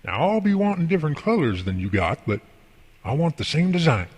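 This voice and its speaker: An actor playing a folksy, devout boss and mentor in an amateur radio drama, explaining things earnestly and at length. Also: male voice, on the high side, very animated, fairly quick, husky. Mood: warm, appreciative. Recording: long hall reverb.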